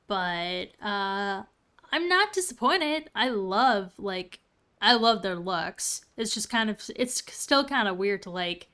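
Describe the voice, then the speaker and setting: A woman with a mid-pitched voice chats casually as she streams a game. She muses and rambles informally, with faint game music behind her. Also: a bit bright, clear, resonant tone. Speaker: game livestreamer. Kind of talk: casual chat while playing a game.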